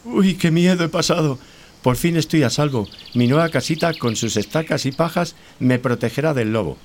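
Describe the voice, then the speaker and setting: Man playing a high-voiced lazy little pig. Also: moderate pace, noisy background, natural voice. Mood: nervous. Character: lazy, fearful young male pig, carefree cartoonish brother. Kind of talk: children's radio play.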